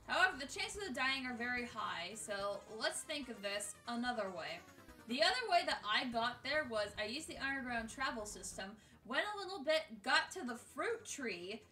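A woman's voice: high voice, pushed and bright, distant mic. A woman hosting a gaming video, giving casual scripted countdown commentary in an exasperated, sweary, jokey way. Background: music.

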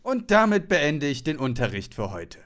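Man playing a high-pitched formal college lecturer. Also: very animated, normal speed, fairly bright tone. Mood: dry, formal. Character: male college lecturer droning through marketing theory. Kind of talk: fan audio drama.

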